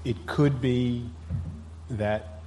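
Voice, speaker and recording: A man answering council members at a public meeting, measured and deferential. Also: medium pitch, fairly even intonation, warm tone, big-room sound, a little hiss or hum. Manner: measured, deferential, says yes ma'am. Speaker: city official answering council. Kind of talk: formal testimony at a public meeting.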